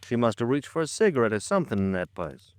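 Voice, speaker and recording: An actor playing a world-weary, plain-talking police lieutenant in an old-time radio detective drama, blunt and colloquial. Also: male voice, medium pitch, big pitch swings, fast, smooth, no echo, lightly acted. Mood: brusque, needling.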